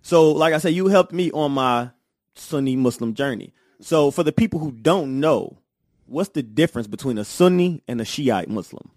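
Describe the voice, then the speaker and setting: A man's voice: medium pitch, lively intonation, normal speed, a little mellow, no room sound. A man hosting a podcast in a studio, talking casually with heavy street slang and lots of emphatic agreement. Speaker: podcast host.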